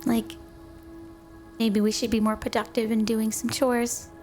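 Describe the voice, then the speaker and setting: A woman's voice: fairly high pitch, little pitch movement, unhurried, a little mellow, faint music behind, lightly acted. A woman playing a flirty, doting, obsessive snow woman wife in an intimate ASMR roleplay, teasing and coy.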